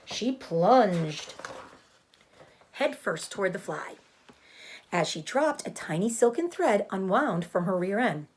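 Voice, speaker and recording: A woman's voice: mid-range voice, big pitch swings, slow pace, long pauses, a little mellow, slightly rough. A woman narrating a children's storybook aloud as a teacher would.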